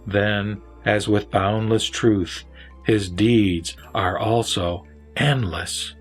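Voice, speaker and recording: A man reciting verse scripture as a dramatic scripted reading, with solemn, measured phrasing and pauses. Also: fairly low pitch, fairly even intonation, husky. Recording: background music under the voice. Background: music.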